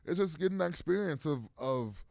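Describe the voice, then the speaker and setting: A man's voice: on the high side, animated, a little fast, vocal fry, darkish tone, dry recording. A man in his thirties, a football player guest, talking casually in an interview with lots of fillers and restarts. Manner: rambling, many fillers and restarts.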